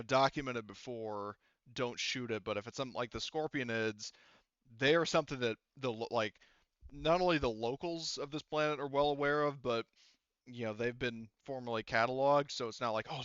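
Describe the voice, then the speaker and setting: A man with a smooth, clear voice runs a tabletop game as game master. He explains things casually, rambling and halting as he goes. The sound is dry and a little dull, like voice chat. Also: mid-range voice, a bit bright, no echo.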